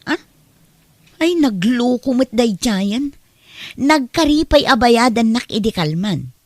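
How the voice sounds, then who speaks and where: A woman's voice: a little high, animated, takes it slowly, a little mellow, no echo. Wary nagging neighbor woman played by an actress, dry sound. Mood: exasperated. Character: wary woman neighbor, reluctant lender, nagging about debts. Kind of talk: Ilocano radio drama acting.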